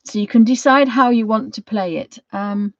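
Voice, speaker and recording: A middle-aged British woman with a slightly croaky voice, giving a casual craft tutorial in a rambling, homely way, thinking aloud as she goes. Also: a little high, normal speed, dry recording, dull audio. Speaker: watercolour craft tutor hosting a live session.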